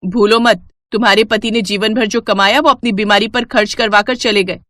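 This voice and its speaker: Woman doing rude young daughter-in-law, loud sharp scolding, dry close mic. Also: medium pitch, fairly even intonation, normal speed, dry recording, natural voice. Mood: scornful.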